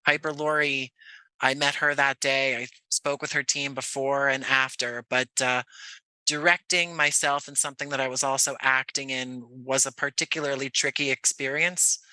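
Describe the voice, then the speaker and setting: A man speaking as an interview guest, answering reflectively and conversationally, often pausing to rephrase. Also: mid-range voice, flat delivery, pushed and bright, smooth, no echo, low-quality recording. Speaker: interview guest, actor and audio drama creator.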